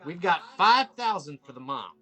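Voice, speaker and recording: A man's voice: a little high, sing-song, normal speed, pushed and bright, soft background music, exaggerated voice. A man reading the part of a bossy, leering older men's-club member at a film table read. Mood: businesslike.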